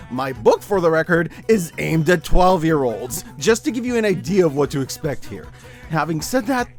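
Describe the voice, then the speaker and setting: A man narrating a scripted review video as its host, in a casual, wry and expressive way. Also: on the high side. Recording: background music under the voice. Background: background music.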